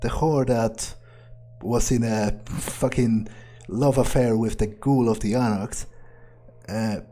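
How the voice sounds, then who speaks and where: A man's voice: mid-range voice, fairly even intonation, takes it slowly, dark tone. A man doing in-character gameplay commentary, thinking aloud in a nonchalant, rambling way with casual swearing. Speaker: let's player.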